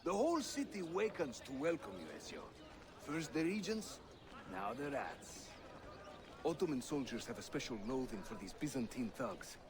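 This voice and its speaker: A man's voice: mid-range voice, big pitch swings, normal speed, lightly acted. An actor playing a jovial, wry Ottoman assassin leader in a video game, with a Turkish accent and a theatrical, teasing manner. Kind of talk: dramatic game cutscene acting. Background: music behind the voice.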